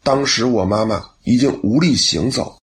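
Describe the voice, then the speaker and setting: A man's voice: mid-range voice, normal speed, close to an everyday voice. An actor playing a sincere, courteous young policeman in a radio drama, speaking with gratitude. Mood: heartfelt narrating. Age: young adult. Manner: earnest, courteous.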